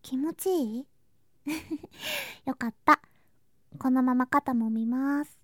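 A woman playing an affectionate, playful younger girl cousin in an intimate ASMR voice drama, speaking softly and coaxingly and slipping into whispers. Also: sits high, takes it slowly, no echo, studio quality, heavily acted. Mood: caring, affectionate. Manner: soft, sweet, coaxing, drifting into whispers and singsong. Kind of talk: ASMR situation voice drama, intimate roleplay to listener.

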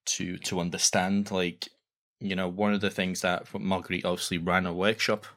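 A man being interviewed as a guest, talking casually in an earnest, halting way and often repeating words. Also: deep voice, level tone, fairly bright tone. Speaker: podcast guest, audio drama creator.

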